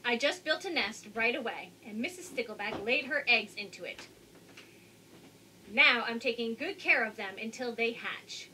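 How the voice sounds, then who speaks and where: A woman's voice: fairly high pitch, lively intonation, moderate pace, pushed and bright, slight background noise, low-quality recording. A woman voicing a proud, contented fish father in a children's picture book. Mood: proud, cheerful. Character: cheerful, proud male fish dads guarding their eggs.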